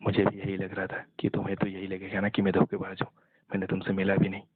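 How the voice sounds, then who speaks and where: A young man in an intimate phone conversation, talking in a halting, subdued, resigned way. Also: low-pitched, completely flat delivery, breathy edge. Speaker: caller talking with an ex-partner. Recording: muffled narrow-band phone call, echoey.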